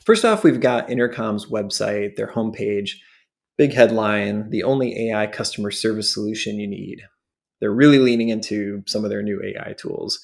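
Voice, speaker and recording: A man's voice: fairly low pitch, gravelly. A man hosting a product walkthrough, explaining things in short phrases as commentary. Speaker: show host and presenter.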